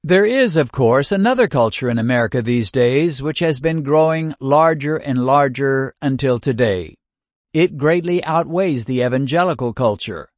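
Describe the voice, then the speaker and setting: Older man, scripted reading, measured cadence, dry close sound, middle pitch. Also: expressive, dry recording. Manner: measured, deliberate cadence with long lists. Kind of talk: scripted first-person reading.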